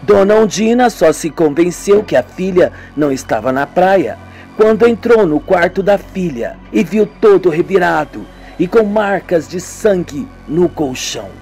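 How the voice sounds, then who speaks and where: A man with a Brazilian accent and a husky voice, reading a scripted radio soap and doing all the parts himself. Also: sits high, animated, a little slow, darkish tone. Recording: music behind the voice. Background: music.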